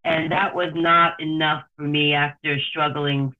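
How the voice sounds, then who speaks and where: A woman from New Jersey with a New Jersey accent, a tour company owner answering interview questions in a steady, storytelling way. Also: sits low, unhurried, a little hiss or hum. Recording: tinny phone line.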